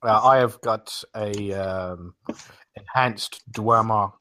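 Slow-talking, lower-pitched man. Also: sharp tone. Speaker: podcast roundtable participant. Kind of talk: casual gaming discussion.

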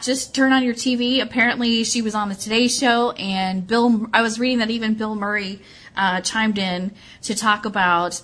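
A woman's voice: on the low side, a bit bright. A woman hosting a radio show, giving casual announcements in a chatty, digressive way and correcting herself as she goes.

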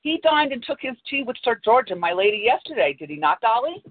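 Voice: medium pitch, very animated, fairly quick, slightly rough, dry recording. Fretful tea-loving girl, read out by a storyteller; tinny phone sound, barely acted. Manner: read aloud with a plain voice.

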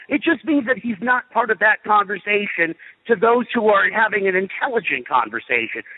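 A man's voice: high-pitched, breathy edge. A man giving blunt, sarcastic opinions as a radio guest on a phone call. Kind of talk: opinionated sports talk. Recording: tinny phone line with long reverb.

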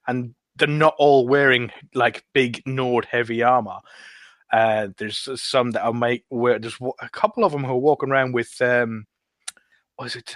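A man chatting casually on a podcast panel, recounting gameplay in a rambling, halting way. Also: mid-range voice, no echo.

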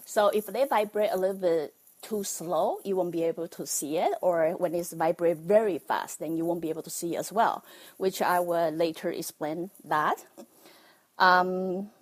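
Halting woman with a Taiwanese accent. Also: on the low side, steady tone. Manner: halting, many ums, nervous laughs. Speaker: livestream host, life coach. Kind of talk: casual livestream talk.

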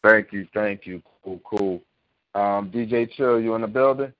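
A man's voice: on the low side, a little slow, dry recording. A man hosting a call-in radio talk show, laid-back and slangy as he wraps up the hour. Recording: dry, dull lo-fi audio.

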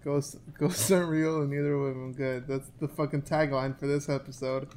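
A man's voice: medium pitch, steady tone, brisk, a little mellow, slight background noise. A man hosting a casual podcast, bantering, swearing and laughing with friends. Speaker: podcast host. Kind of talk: casual chat.

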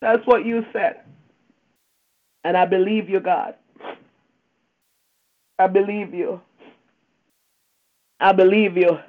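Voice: medium pitch, steady tone, slow, long pauses. A prayer leader praying fervently and off the cuff, pleading and emphatic, with repeated invocations and a tearful edge. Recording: dull lo-fi radio-like phone line.

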